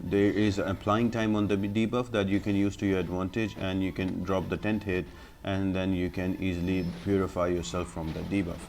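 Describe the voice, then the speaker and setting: A man's voice: sits low, darkish tone, a little hiss or hum. A man giving explanatory gameplay commentary as a guide host, in an instructional, step-by-step manner.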